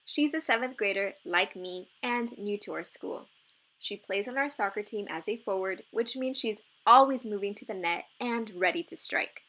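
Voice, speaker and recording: A woman narrating a children's novel as an expressive read-aloud. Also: medium pitch, normal speed, poor audio.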